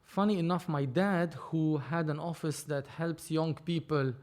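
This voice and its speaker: A man, speaking in a voice that sits a little higher than most men's. He tells his story as a podcast guest in loose, animated chat, often restarting his sentences as he goes. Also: measured pace. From Lebanon. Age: 30s. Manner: animated, rambling, frequent restarts. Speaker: film producer, podcast guest. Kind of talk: casual conversational storytelling.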